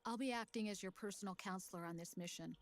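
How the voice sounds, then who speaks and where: An actress playing a calm, professional military counselor in a video game, speaking formally and reassuringly. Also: female voice, medium pitch, fast, a crowd in the background, close to an everyday voice. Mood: composed, professional.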